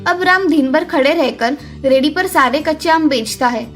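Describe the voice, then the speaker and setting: A woman's voice: sits high, moderate pace, a bit bright. A woman reading a scripted children's story as its narrator. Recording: music under the voice.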